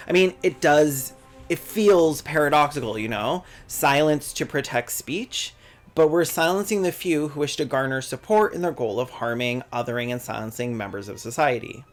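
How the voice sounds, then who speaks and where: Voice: medium pitch, normal speed, soft background music. A person narrating a scripted video essay in a sardonic, conversational tone, cursing freely. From Canada.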